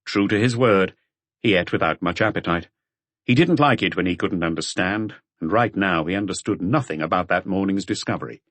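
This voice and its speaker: A man's voice: deep voice, fairly quick, fairly bright tone, dry recording. A man reading a mystery novel as a scripted audiobook narration.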